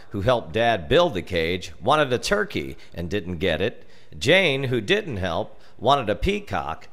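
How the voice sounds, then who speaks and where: An American man reading a scripted story as narrator, theatrically, with dramatic pauses. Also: fairly low pitch, a bit bright. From United States. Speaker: storyteller reading his comic family memoir.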